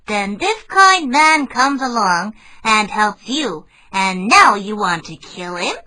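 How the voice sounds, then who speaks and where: Clever storybook rabbit, voiced by a man, very high pitch, lively swooping voice, bright tone. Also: slow pace, exaggerated voice, low-quality recording. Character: clever small rabbit judge.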